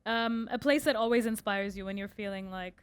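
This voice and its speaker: A woman hosting an onstage interview, firing off quick, playful questions and steering the audience Q&A. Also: medium pitch, smooth, no echo. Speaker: host and moderator. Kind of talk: rapid-fire onstage interview.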